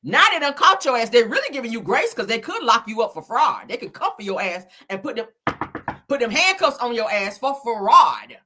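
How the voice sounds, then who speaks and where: A woman giving animated online reaction commentary in a blunt, scolding, emphatic manner, swearing freely and repeating phrases for effect. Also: on the high side, moderate pace. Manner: blunt, scolding, heavy profanity. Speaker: YouTuber commentating on celebrity and viral topics.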